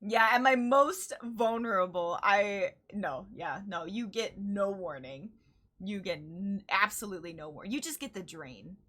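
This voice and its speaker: A woman's voice: medium pitch, lively intonation, measured pace, pushed and bright. A woman with a Chicago accent hosting a casual interview. She is bubbly and giggly and laughs easily. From Chicago, US Midwest. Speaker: interview host. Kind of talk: casual online interview chat.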